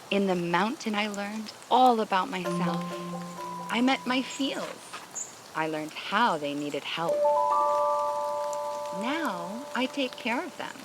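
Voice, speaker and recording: A woman voicing a calm, wise male alpaca in a children's story, serene and measured. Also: medium pitch, rough recording. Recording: music behind the voice.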